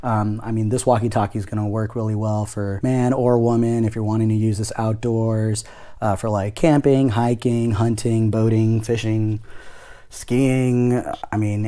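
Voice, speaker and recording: A man's voice: lowish voice, flat delivery, moderate pace, mellow. A man giving a casual product demonstration, explaining things step by step in an informal way. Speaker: product reviewer. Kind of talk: casual unboxing demo.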